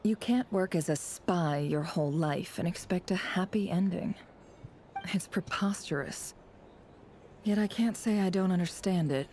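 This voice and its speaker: A woman playing a cool, cynical, guarded spymaster in a video game, speaking in a measured, wry way. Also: lowish voice, darkish tone, a little hiss or hum, close to an everyday voice. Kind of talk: video game character dialogue.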